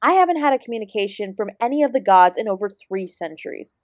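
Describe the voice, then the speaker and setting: A woman's voice: mid-range voice, lively intonation, quick pace, no room sound, close to an everyday voice. A woman playing an ancient celestial dance teacher in an audio roleplay, sarcastic and exasperated, speaking with sardonic, sweary emphasis. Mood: defiant. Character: ancient female apsara dance guru, sarcastic, exasperated, fiercely independent. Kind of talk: scripted audio roleplay addressed to the listener.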